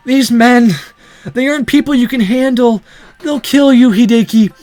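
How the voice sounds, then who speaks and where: A man's voice: very high voice, normal speed, a little mellow, soft background music, pitched above the performer's own voice. A man voicing a desperate, protective young woman held captive in a visual novel, pleading urgently. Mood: urgent warning.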